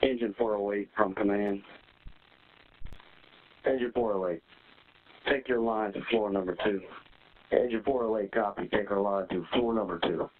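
A man's voice: fairly low pitch, steady tone, moderate pace, long pauses. A man as a fire officer making terse, scripted procedure calls over a two-way radio. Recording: narrow, tinny fire radio transmission.

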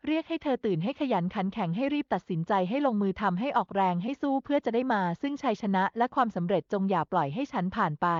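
A woman's voice: medium pitch, dry recording, muffled recording. A woman reading a scripted tale as a storyteller.

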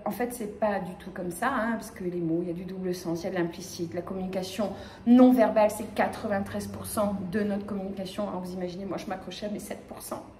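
A woman in her fifties from the Paris region, giving a lively conference talk with many fillers and restarts, gesturing as she speaks. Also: mid-range voice, slight background noise. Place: small lecture room with projector screen. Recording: echoey room.

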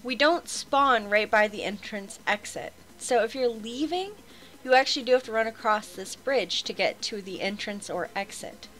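A young woman hosting a game walkthrough, her voice a touch higher than most and slightly nasal from a head cold. She chats in a bright, upbeat way and laughs easily as she guides along. Soft music sits faintly underneath. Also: normal speed. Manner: chatty, laughs often, upbeat. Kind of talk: casual gameplay walkthrough.

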